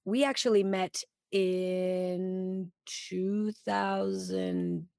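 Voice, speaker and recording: A woman's voice: mid-range voice, steady tone, extremely slow, vocal fry, a little mellow, dry recording. A woman hosting a podcast, speaking into a studio mic with headphones on, in effusive, chatty casual talk. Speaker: podcast host. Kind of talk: casual interview chat.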